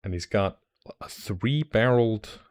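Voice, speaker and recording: This is a young man from Iceland, speaking English as a second language, on a casual stream. His voice sits very low. He rambles warmly through fillers and restarts, getting excited about what he shows. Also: unhurried, clean tone. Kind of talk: casual livestream chat.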